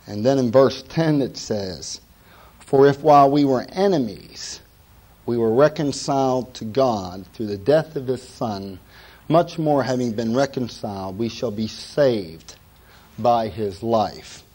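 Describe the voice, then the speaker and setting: A middle-aged man preaching a sermon as pastor, hoarse from a cold, folksy and joking, with many "uh" fillers. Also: medium pitch, a little slow, a little mellow.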